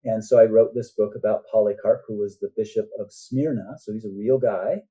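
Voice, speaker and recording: A man's voice: lowish voice, a little fast, warm tone, husky, lo-fi sound. A man speaking as an author guest on a podcast, explaining things earnestly in a conversational way and often checking in with the listener.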